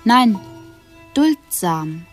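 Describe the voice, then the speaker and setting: A woman's voice: medium pitch, sing-song, very slow, soft background music. A woman playing a tender, pitying figure in a radio drama, speaking in a soothing, hesitant way. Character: tender, pitying woman hovering over a sufferer. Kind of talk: 1970s German radio drama acting.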